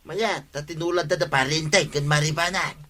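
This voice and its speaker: Bright-voiced, eager Ilocano village husband. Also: male voice, fairly high pitch, fairly even intonation, normal speed. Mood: pleased. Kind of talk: comedic radio drama acting.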